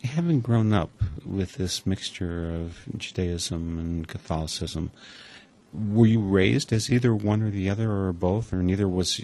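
A man's voice: low-pitched, a little slow, a little mellow. An American man from Wisconsin hosting a conversational interview in a reflective, wry way. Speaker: interview host.

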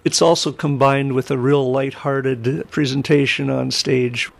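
A man's voice: mid-range voice, fairly even intonation, measured pace, gravelly. A middle-aged man, telling his story reflectively as an interview guest. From Wisconsin, United States. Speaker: interview guest, singer and musician.

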